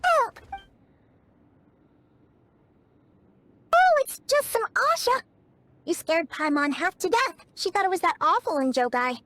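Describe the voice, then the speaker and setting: A woman voicing a tiny, excitable, childlike fairy companion in a video game, with a squeaky voice and naive, exclamatory manner. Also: normal speed, dry recording, exaggerated voice. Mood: startled. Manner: exclamatory, naive, refers to self in third person. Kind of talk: animated video game voice acting.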